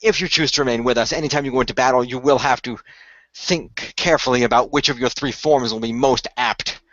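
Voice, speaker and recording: A man playing a composed, knowledgeable leader of a secret hero team, speaking in measured, formal explanations. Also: mid-range voice, fairly even intonation, fairly bright tone, no echo, lightly acted. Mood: earnest, explanatory. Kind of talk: amateur radio play acting. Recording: dry, slightly muffled home recording.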